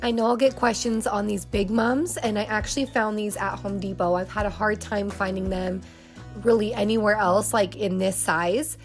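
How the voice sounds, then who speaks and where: A woman's voice: mid-range voice, fairly even intonation, moderate pace, soft background music. A woman in her thirties, sick and losing her voice, chatting in a nasal tone as she narrates a home decorating vlog. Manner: chatty and upbeat, with fillers like "um" and "like". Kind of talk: casual voice-over narration.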